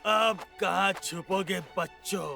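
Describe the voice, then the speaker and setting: Cartoon villain wolf: hungry, gloating, high gravelly voice, music bed. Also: male voice, steady tone, exaggerated voice. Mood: gloating, menacing. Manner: gloating, drawn-out threats and growling cries. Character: menacing, hungry male wolf hunting cubs for fresh meat. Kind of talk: Hindi cartoon dub acting. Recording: music under the voice.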